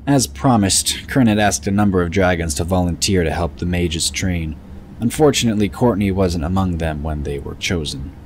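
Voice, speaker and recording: A man's voice: deep voice, normal speed, slight background noise. A man reading scripted narration for a fantasy audio drama. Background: music.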